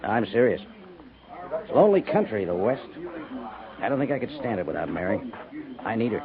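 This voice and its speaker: An actor playing an earnest, homesick cavalry major in a 1950s radio western, reflective and questioning. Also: male voice, lowish voice, very animated, fairly quick, a crowd in the background. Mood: earnest, wistful. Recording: tinny 1950s radio recording, busy background.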